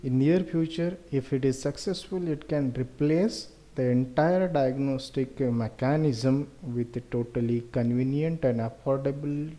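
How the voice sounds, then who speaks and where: A man with an Indian accent presenting a research project in an explanatory way, with halting, deliberate phrasing. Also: medium pitch, warm tone, rough recording.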